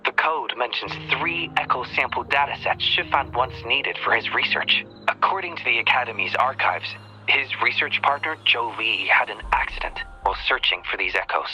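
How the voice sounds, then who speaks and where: A man's voice: mid-range voice, normal speed, sharp tone, soft background music, poor audio. A man voicing a courteous, brainy young researcher in a video game, speaking politely and precisely. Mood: polite, composed.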